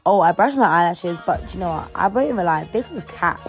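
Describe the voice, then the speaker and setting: Young London woman, lowish voice, chatty. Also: lively intonation, fast, soft background music. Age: early 20s. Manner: slangy, playful, informal. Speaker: vlogger. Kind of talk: casual, chatty morning-routine vlog narration.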